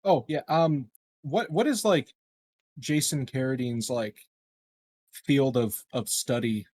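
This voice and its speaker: A man chatting casually as a player in a tabletop game, asking things in a halting, questioning way. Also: mid-range voice, darkish tone.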